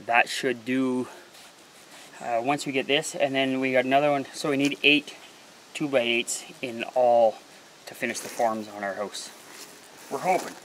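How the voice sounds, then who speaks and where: A man's voice: medium pitch, fairly even intonation, measured pace, pauses often, fairly bright tone, slight background noise, rough recording. A man in his forties, a homesteading vlogger, chatting casually to the camera outdoors in a rural yard. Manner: easygoing, plain-spoken, addresses viewers as folks.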